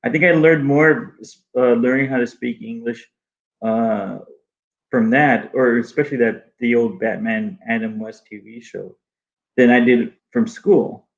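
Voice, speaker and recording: A man whose first language is Arabic, speaking haltingly with many restarts as a guest in a casual interview. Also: medium pitch, steady tone, gravelly, lo-fi sound. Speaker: guest artist.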